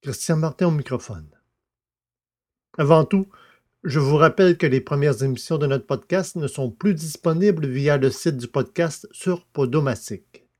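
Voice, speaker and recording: A man hosting a podcast and reading a novel aloud in a measured, deliberate way. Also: a little high, a little mellow. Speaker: podcast host and narrator. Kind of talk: scripted audiobook narration.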